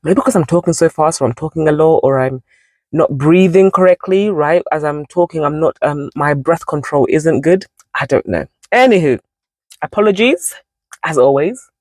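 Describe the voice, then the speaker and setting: A woman's voice: deep voice, lively intonation, moderate pace, a little mellow, no room sound. A woman in her forties hosting a casual devotional talk, rambling and correcting herself as she goes. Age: 40s. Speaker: Bible study channel host.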